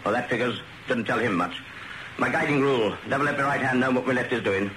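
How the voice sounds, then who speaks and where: An actor playing a ruthless British military intelligence chief in a radio spy drama, clipped and authoritative. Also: male voice, medium pitch, fast talker, sharp tone, a little hiss or hum, lightly acted, rough recording. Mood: cool, authoritative.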